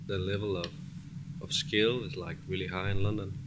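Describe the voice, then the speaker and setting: Male interview guest, Danish accent, deep register, loose conversational delivery, noisy studio. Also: steady tone, normal speed. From Denmark. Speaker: music producer guest. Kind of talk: casual chat. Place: cramped studio.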